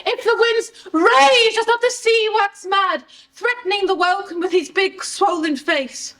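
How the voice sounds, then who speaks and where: A woman's voice: extremely high pitch, a little slow, a bit bright, full character voice. A woman performing an anguished, grief-stricken father in an impassioned, declamatory Shakespearean monologue in an echoey room. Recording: echoey room, distant microphone.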